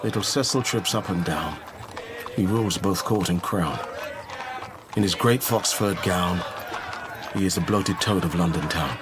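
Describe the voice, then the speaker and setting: An actor playing a loyal, deferential manservant with dry wit in a period radio drama. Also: male voice, deep voice, measured pace, pauses often. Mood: reciting. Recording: noisy outdoor street ambience.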